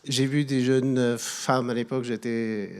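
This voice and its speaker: Guest speaker: middle-aged Afghan-accented man, mid-pitched, earnest, stumbling repeats. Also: little pitch movement, unhurried, smooth. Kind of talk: testimony at a public event. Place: hall at a public evening event.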